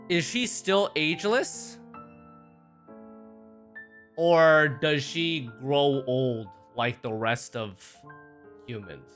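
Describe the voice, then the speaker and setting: A man's voice: a little high, fairly bright tone. A man giving casual, rambling, sweary commentary as a game livestreamer. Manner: rambling, repeats phrases, sweary. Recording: game music behind the voice.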